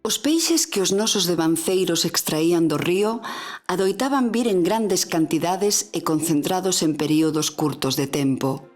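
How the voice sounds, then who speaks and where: Low-voiced Galician woman narrating. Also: lively intonation, faint music behind. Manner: measured, formal. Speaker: documentary narrator. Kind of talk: scripted narration.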